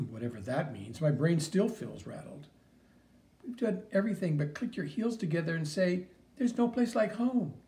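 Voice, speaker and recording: A man's voice: on the high side, expressive, moderate pace, warm tone. A man reading an audiobook, voicing a young boy who hesitates and hedges when he is put on the spot. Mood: exasperated. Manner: hesitant, fibbing, hedging. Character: young boy, nervous and evasive.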